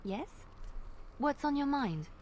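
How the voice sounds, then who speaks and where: A woman voicing a graceful female bard in a video game, telling tales in a measured, lilting way. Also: sits high, big pitch swings, darkish tone, exaggerated voice. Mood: storytelling. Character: graceful female bard, gentle devout storyteller. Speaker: game character. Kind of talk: voiced game dialogue.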